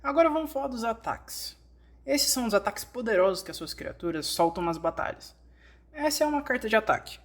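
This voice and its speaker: A woman's voice: fairly high pitch, lively intonation, brisk. A woman with a Brazilian accent reads scripted instructional narration, explaining things step by step as in a fan-dubbed tutorial.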